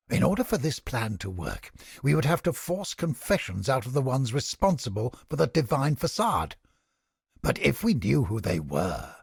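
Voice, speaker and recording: An actor voicing a zealous, paranoid interrogator in a video game, a smug skeptic with a raspy voice who lectures theatrically and condescendingly. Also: male voice, medium pitch, no room sound, clean studio sound, heavily acted. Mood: frustrated, resolute. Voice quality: gravelly, raspy. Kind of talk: video game character acting.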